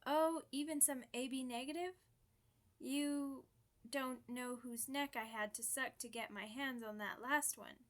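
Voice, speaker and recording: A woman's voice: sits high, steady tone, measured pace. A woman voicing a chatty, playful, theatrical old vampire in an ASMR roleplay, full of mock-grand asides. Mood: chatty, gracious.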